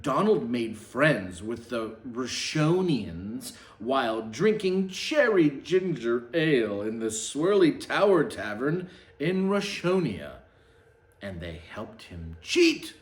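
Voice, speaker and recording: A man's voice: medium pitch, sing-song, takes it slowly. A man voicing a shifty, accusing knight from a storybook with declamatory delivery. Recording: roomy, echoing sound.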